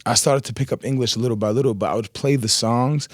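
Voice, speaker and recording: A man whose first language is Arabic, a rapper guest telling stories in a relaxed, rambling way with slang, on a studio mic in a radio studio. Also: mid-range voice, fast, no room sound. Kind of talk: casual interview chat.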